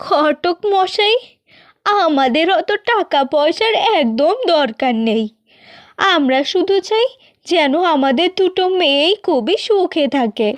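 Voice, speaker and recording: A woman's voice: high-pitched, animated, slow pace, dry recording. A woman voicing a caring, admonishing middle-aged village mother in a cartoon. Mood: earnest, pleading. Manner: earnest, admonishing. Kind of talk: Bengali cartoon fairy-tale acting.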